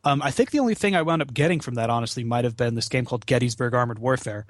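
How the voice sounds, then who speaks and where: A man's voice: medium pitch, very fast, no room sound. Rambling, casually sweary man, close dry sound. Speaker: podcast guest, charity gaming marathon organizer. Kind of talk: casual chat.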